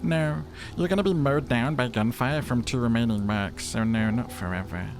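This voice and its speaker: A man's voice: mid-range voice, moderate pace, pitched above the performer's own voice, lightly acted. A man voicing a talking necktie in a role-playing game, a blunt, fatalistic and theatrical boozy confidant. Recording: music behind the voice.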